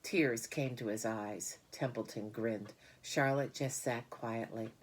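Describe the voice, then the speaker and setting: A woman's voice: extremely low pitch, slow pace, slight background noise. A woman reading a children's storybook aloud as narrator. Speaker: storybook reader. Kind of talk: children's book read-aloud.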